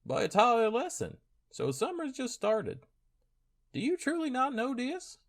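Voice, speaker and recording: A man's voice: extremely high pitch, huge pitch swings, normal speed, heavily acted. A man playing a simple, friendly farmer in a fantasy audio drama, with folksy rustic speech. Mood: puzzled.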